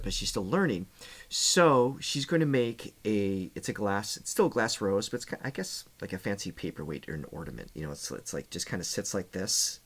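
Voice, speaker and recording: A man's voice: lowish voice, a bit bright. A middle-aged man hosting a music livestream in a casual, chatty way, friendly and joking as he talks. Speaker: host of a music reaction livestream. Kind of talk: casual livestream chat with announcements.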